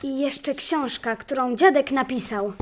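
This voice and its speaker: Casting: female actor for eager little boy in an audio play, high voice, slight background noise. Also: a little slow. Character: bright curious young grandson in a children's drama. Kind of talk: children's radio drama acting.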